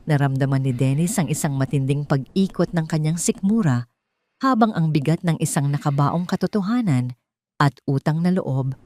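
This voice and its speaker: A woman with a Filipino accent reads a scripted dramatic story in a solemn, deliberate way, with short pauses between phrases. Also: deep voice, warm tone. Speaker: story narrator.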